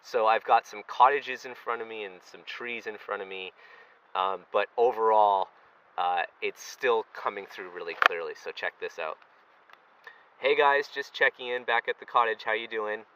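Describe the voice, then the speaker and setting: A man's voice: lowish voice, normal speed, a bit bright, lo-fi sound, slight background noise. A man giving a casual, rambling, conversational product review, recorded outdoors. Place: outdoors on a lake.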